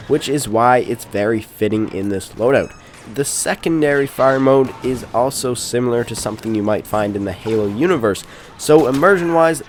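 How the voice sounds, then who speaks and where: A man's voice: mid-range voice, a little hiss or hum. Congested man narrating game footage. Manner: polite, formal phrasing. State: stuffed up, congested. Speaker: gaming channel host. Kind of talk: scripted gameplay commentary.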